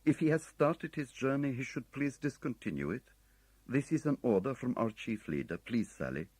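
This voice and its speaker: A man voicing a foreign male agent who stays formally polite and calm under pressure, speaking slowly and insistently. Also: mid-range voice, dry recording, pitched above the performer's own voice. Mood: urgent.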